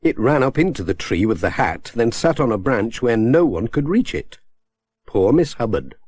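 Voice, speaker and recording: A man with a British accent narrating a children's story in a measured, expressive storytelling manner. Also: mid-range voice, husky, dry recording. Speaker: audiobook narrator.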